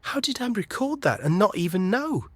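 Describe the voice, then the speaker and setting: An actor playing an anxious, reluctant young man in an audio drama, fretful and halting, with a husky voice. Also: high-pitched, big pitch swings, fairly bright tone, dry recording, exaggerated voice. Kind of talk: naturalistic audio drama acting.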